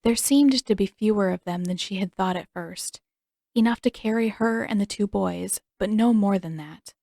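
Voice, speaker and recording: Woman, audiobook narrator, scripted fiction reading, mid pitch, dry close sound. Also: moderate pace, vocal fry, warm tone, no room sound.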